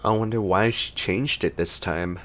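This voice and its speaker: A man's voice: on the low side, fairly even intonation, normal speed, fairly bright tone. A man giving casual gameplay reaction commentary, conversational and a little hesitant in his delivery. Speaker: gaming video host.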